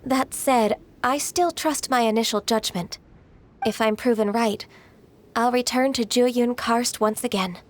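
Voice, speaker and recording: A woman voicing a gentle, dutiful, reserved half-spirit maiden in a game, polite and soft-spoken. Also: on the high side, a little slow, natural voice.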